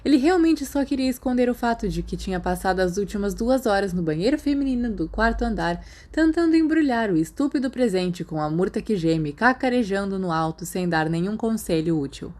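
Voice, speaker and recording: A woman's voice: mid-range voice, expressive, fairly quick, few pauses, a little mellow. A woman with a Brazilian accent reading a story as a scripted narration. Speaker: audiobook narrator.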